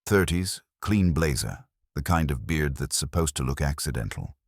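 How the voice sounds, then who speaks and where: A man with a gravelly, husky voice, narrating a scripted detective story in a wry noir manner. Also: very deep voice, expressive, moderate pace, no room sound, clean studio sound. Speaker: audiobook narrator.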